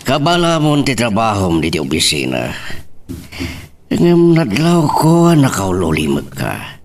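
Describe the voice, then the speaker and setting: A man's voice: on the low side, huge pitch swings, unhurried, heavily acted. A man with an Ilocano Filipino accent acting a concerned, probing middle-aged father in an audio drama. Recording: slightly distant, faintly noisy.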